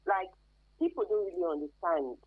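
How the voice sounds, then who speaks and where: A woman's voice: on the low side, huge pitch swings, normal speed, breathy edge, no room sound. A woman with a Nigerian accent giving emphatic, conversational interview answers as a guest expert, over narrow phone-like radio sound. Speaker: guest expert, career advisor.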